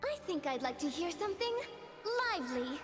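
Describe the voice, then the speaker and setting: A woman's voice: high-pitched, big pitch swings, fairly quick. A woman voicing a cheerful, wide-eyed living doll seamstress in a video game, speaking with prim, playful politeness and wonder. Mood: delighted, wondering. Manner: prim, wonder-filled, old-fashioned polite phrasing. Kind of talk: video game character voice lines. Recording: long reverb, loud music behind.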